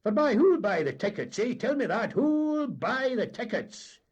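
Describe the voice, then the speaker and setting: A man voicing a vain, boastful Highland boat captain with a Scottish accent. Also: big pitch swings, unhurried, husky, lo-fi sound, heavily acted. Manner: blustering, boastful.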